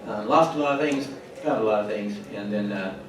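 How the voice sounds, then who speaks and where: Man, 50s, halting and hesitant, many restarts, very echoey hall, distant mic. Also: on the low side, noisy background. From California, USA. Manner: halting, full of restarts and pauses. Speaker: resident steward, conference speaker. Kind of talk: personal story at a conference panel. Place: conference hall.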